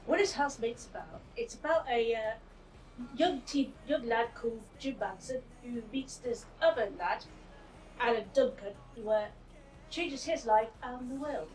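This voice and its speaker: Woman late 30s, higher voice, chatting in an interview outside, bit distant, faint music. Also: unhurried. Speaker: actor. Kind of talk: unscripted interview answers. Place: outdoors on a sea front.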